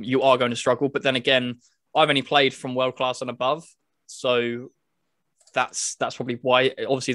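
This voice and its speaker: Male podcast host, mid-range pitch, conversational and informal game-review chat, dry recording. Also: fairly bright tone, dry recording.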